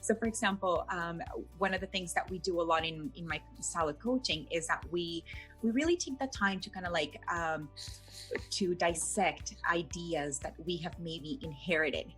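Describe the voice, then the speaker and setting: Playful woman coach, lowish voice, fillers. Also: normal speed, fairly bright tone, faint music behind, rough recording. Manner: playful, many fillers and restarts. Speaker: fitness coach, guest. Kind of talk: casual interview answers.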